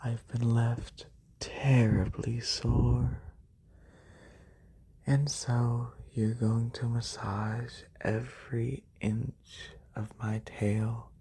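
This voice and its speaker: A performer voicing a haughty, wealthy lamia noble in a breathy, soft-spoken ASMR roleplay, condescending and unhurried, close on a binaural mic. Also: mid-range voice, flat delivery, heavily acted. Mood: languid, commanding. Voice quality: breathy, whispery.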